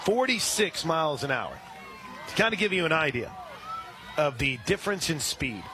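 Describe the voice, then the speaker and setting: A man doing radio play-by-play of a softball game, calling pitches steadily and rising on big plays. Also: normal speed, music in the background, a crowd in the background. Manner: steady play-by-play with brief asides, rising when excited. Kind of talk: radio sports commentary.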